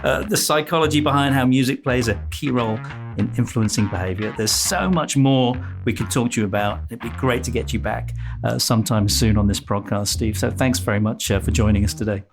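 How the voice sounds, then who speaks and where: A man's voice: mid-range voice, brisk, vocal fry, over music. A middle-aged or older man hosting an interview podcast, chatting with a guest and delivering sign-offs. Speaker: podcast host.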